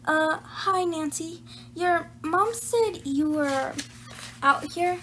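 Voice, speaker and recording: Woman as high-voiced, hesitant nervous young girl. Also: extremely slow, a bit bright, slight background noise, heavily acted. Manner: hesitant, stammering. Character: nervous, evasive young girl, friend of detective.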